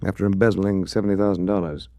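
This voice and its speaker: Low, creaky suave American radio detective. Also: male voice, level tone, quick pace, mellow, dry recording. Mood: probing, matter-of-fact. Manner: smooth, dry, worldly understatement. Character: suave, unflappable male adventurer-detective, wry and shrewd. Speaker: lead actor and first-person narrator in a radio detective series. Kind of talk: 1940s radio drama acting and narration.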